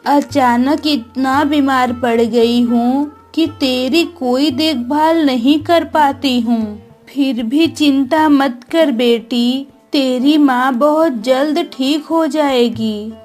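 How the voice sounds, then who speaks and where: Slow high female voice, sickly loving mother acted by a woman, weary, tender, cartoon story, soft music behind. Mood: tender, resigned. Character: ailing, resigned, loving village mother. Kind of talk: acted Hindi moral story cartoon dialogue.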